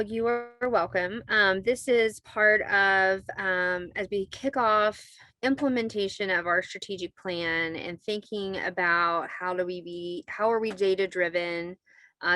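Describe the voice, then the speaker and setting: A woman's voice: medium pitch, sharp tone, no echo. A woman leading an online committee meeting, talking in a semi-formal, conversational way as facilitator. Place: video call.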